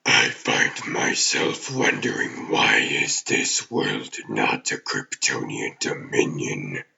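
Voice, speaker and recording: A man voicing an ancient, imperious demon overlord in a comic dub, with a gravelly growl and pompous, formal, deliberate delivery. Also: a bass voice, huge pitch swings, pushed and bright, highs cut off, exaggerated voice. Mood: condescending. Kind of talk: theatrical villain acting in a comic dub.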